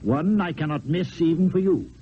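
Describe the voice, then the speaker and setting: A man playing a proud, melancholy, aging poet-swordsman in a deliberate, theatrical radio drama style. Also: a little high, lightly acted. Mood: wry, masking strain. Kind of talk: old-time radio stage acting. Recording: narrow, noisy old radio recording.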